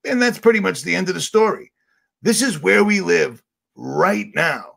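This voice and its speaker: A man with a raspy voice, ranting sarcastically and rambling on a livestream as the channel host. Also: high voice, lively intonation, moderate pace, fairly bright tone. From Boston area. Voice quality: raspy, gravelly.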